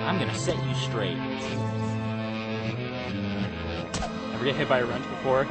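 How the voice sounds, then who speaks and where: A man's voice: mid-range voice, animated, quick pace, natural voice. The swaggering repairman of a team shooter, played by a man, drawling folksy lines in wide swoops while music blares over the match. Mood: taunting. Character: cocky, folksy male mechanic, game fighter. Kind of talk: video game character voice lines. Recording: loud music over game audio. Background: loud game music.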